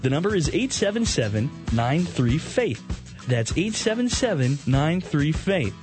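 A man's voice: a little high, sing-song, measured pace. Upbeat male announcer over music. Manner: smooth, upbeat announcer read. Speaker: station announcer. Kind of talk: radio promo read. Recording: promo read with music bed behind.

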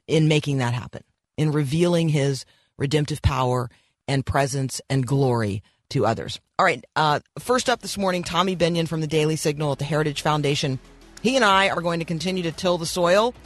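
A man with a slightly froggy, somewhat high voice hosts a morning radio program. He speaks earnestly and encouragingly, often pausing with ums and restarting his sentences. The sound is close and dry, with no room echo. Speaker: radio show host. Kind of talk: devotional morning radio talk.

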